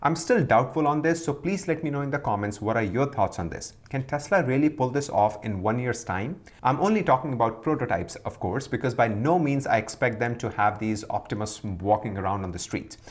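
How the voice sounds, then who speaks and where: A man's voice: mid-range voice, lively intonation, fairly quick, hardly any pauses. A man hosting a video, giving emphatic, scripted-sounding commentary on investing and stepping through it point by point. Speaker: stock commentary video host.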